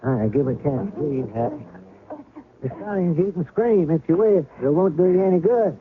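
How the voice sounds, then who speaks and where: A man's voice: sits high, animated, a little fast. An actor playing a brusque male kidnapper in a 1970s radio drama, polite on the surface but giving clipped, threatening orders. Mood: menacing. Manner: clipped orders, cool menace. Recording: tinny old radio broadcast with clear hiss.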